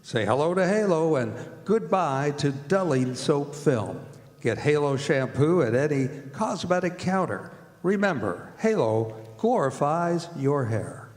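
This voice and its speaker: A man's voice: on the high side, sing-song, unhurried, a little mellow. A man reading as an old-time radio announcer, with scripted delivery of ads and introductions. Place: performing arts center stage. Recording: hall reverb, music behind.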